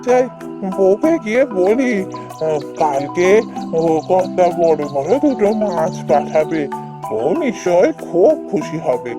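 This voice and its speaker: High-pitched male boatman, acted by a man, cartoon folk tale, humble, eager, deferential, exclamatory, music behind. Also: very animated, slow pace, full character voice. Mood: pleased. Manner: exclamatory, protesting politely. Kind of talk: cartoon fairy tale voice acting.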